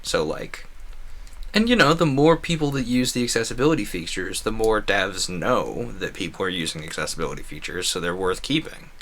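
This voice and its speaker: Low-voiced man rambling over a game. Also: lively intonation, fairly quick, fairly bright tone. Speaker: video game streamer. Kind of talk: casual gameplay commentary.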